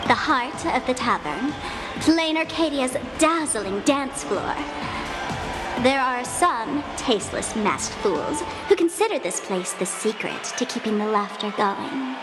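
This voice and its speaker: A woman's voice: sits high, lively intonation, a little slow, rough recording. A woman voicing a cheerful, mischievous young tavern host in a video game, bubbly, showy and teasing. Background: game music.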